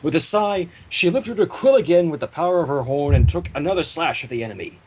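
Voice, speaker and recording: A man reading a story theatrically on a livestream, breaking off into casual banter, with a husky voice. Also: a little high, expressive, a little fast, background noise. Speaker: fan fiction reader and livestream host.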